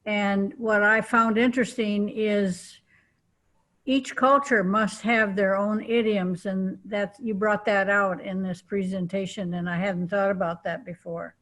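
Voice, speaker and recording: A woman giving measured, appreciative feedback in a group discussion. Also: medium pitch, level tone, fairly bright tone.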